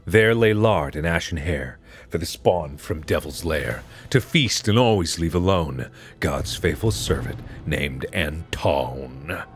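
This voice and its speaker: A man's voice: very deep voice, expressive, slow, soft background music, heavily acted. A man voicing a frightened boy who solemnly chants a protective charm in a horror game. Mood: solemn chanting. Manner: solemn, incantatory. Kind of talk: horror visual novel character reading.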